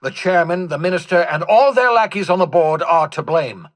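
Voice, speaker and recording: An actor playing an eccentric, vain older mad scientist in a video game, with a husky voice and a theatrical, erudite, rambling manner. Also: male voice, fairly high pitch, animated, moderate pace, lightly acted. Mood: earnest, urgent. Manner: theatrical, erudite, rambling, swinging from mutters to exclamations. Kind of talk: video game character dialogue.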